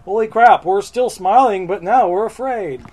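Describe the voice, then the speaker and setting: Storybook monster, played by a man, big and hairy but high-pitched, gushing and over-excited. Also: measured pace. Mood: smiling but afraid.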